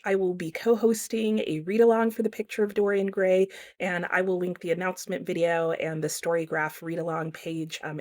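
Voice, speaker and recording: A woman giving a casual, conversational book review as a vlogger, talking informally with some hedging. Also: on the low side.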